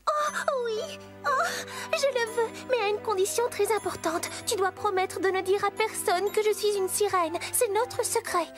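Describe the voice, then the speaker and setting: A woman voicing a sweet, gentle young mermaid in a children's cartoon, speaking earnestly. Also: very high voice, normal speed. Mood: delighted, solemn. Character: sweet gentle young mermaid named Luna who loves strawberries. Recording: music playing behind the voice.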